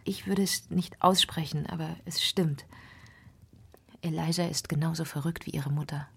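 A woman's voice: deep voice, steady tone, normal speed, creaky, a bit bright, slightly rough, exaggerated voice. A woman acting an anguished, formally polite Victorian lady of the house in a radio crime drama, speaking earnestly. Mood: troubled, confiding.

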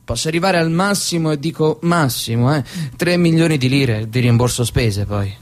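A man's voice: medium pitch, lively intonation, normal speed, natural voice. An actor playing a weary, evasive male newsroom boss who talks colloquially in a radio drama. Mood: exasperated. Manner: colloquial, hesitant, reluctant. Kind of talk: naturalistic Italian radio drama acting.

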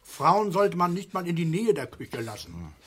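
A man's voice: fairly high pitch, wildly swooping pitch, moderate pace, background noise. A man playing a pompous, nagging middle-aged father, lecturing sarcastically in a radio play. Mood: sarcastic, scolding. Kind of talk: naturalistic German radio play acting.

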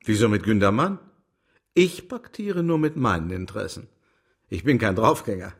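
An actor playing a smug, self-serving political turncoat in a German radio drama, husky, cool and mocking as he gives advice. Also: lowish voice, big pitch swings, moderate pace, full character voice. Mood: cool, reasoning.

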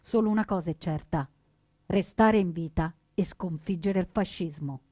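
A woman playing an earnest partisan, recounting the resistance as scripted dramatic narration in solemn, deliberate phrases. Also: on the low side, mellow, dry recording, lightly acted. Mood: solemn resolve.

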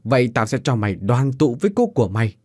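A man's voice: mid-range voice, big pitch swings, quick pace, darkish tone, no echo, studio quality. A man voicing a cruel, scheming older woman who barks harsh orders and sneers. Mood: harsh commanding.

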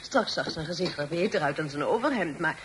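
An actress playing a spirited young daughter in a radio play, speaking in a playful, mocking way. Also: female voice, sits low, expressive, a little fast. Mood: teasing. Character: teasing, spirited young woman, the family's daughter. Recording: noisy old radio recording.

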